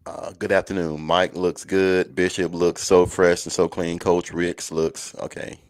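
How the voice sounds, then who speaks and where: A man's voice: low voice, little pitch movement, a little slow, dry recording. A man hosting a college football show in a casual, folksy, conversational way, speaking to listeners like friends. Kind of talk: casual live sports chat.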